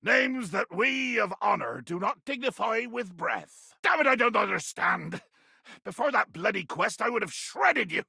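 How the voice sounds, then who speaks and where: Raspy, swooping, pompous pirate captain. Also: male voice, high voice, big pitch swings, unhurried, bright, forceful tone, no echo, studio quality, heavily acted. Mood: bitter, anguished. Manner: pompous, grandiloquent, blustering. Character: tiny, fierce, pompous pirate captain living in a bottle. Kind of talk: theatrical video game character acting.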